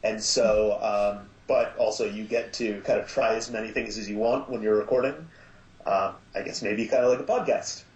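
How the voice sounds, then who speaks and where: A man speaking as an interview guest in casual chat, enthusiastic but halting and reflective. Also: fairly low pitch, fairly even intonation, reverberant, low-quality recording. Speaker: podcast guest, audio drama maker.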